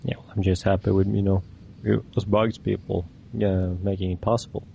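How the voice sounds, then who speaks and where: A man from Norway, talking casually as a guest and pausing often with fillers. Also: low voice, flat delivery, vocal fry, dark tone, a little hiss or hum. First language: Norwegian. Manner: halting, many "uh" and "you know" fillers. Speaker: guest creator of an animated video. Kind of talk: casual podcast chat.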